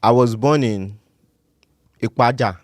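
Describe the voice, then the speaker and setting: A man's voice: mid-range voice, slow, no echo, studio quality. A man from Nigeria with a Nigerian accent, a comedian guest joking in a casual chat. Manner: playful, deadpan jokes, repeats words.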